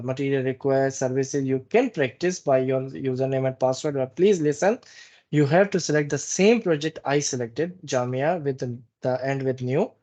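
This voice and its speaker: A man giving a live software training walkthrough in a halting, instructive way, often repeating phrases. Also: a little high. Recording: dull, muffled lo-fi meeting recording.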